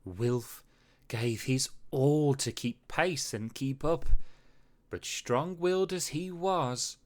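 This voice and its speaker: A storyteller reading a rhyming children's story aloud with expressive, dramatic phrasing. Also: medium pitch, takes it slowly. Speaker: storybook reader. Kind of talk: children's read-aloud narration in rhyme.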